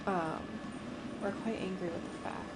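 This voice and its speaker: A woman streaming a game live, giving casual, halting commentary as she thinks aloud. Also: fairly low pitch, steady tone. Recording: loud background noise on livestream.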